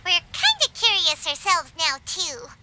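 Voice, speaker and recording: A woman voicing a tiny, excitable female fairy companion who chatters about herself in the third person, in a game cutscene. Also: very high voice, unhurried, very noisy, heavily acted. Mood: chatty. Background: game score music.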